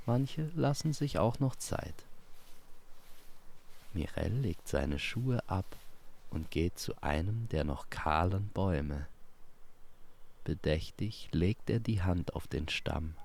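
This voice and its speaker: A man telling a scripted fantasy story in a calm, unhurried, soothing way as storyteller and host. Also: low voice, vocal fry, a little hiss or hum.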